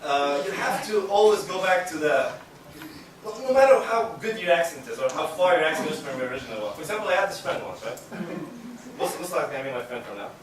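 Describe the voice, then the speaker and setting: A man's voice: a little high, expressive, quick pace. Rambling young male stand-up comic. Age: young adult. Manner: rambling, self-mocking, lots of fillers. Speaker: stand-up comedian. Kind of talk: stand-up comedy. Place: university stage with live audience. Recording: echoey hall, distant mic, loud noise.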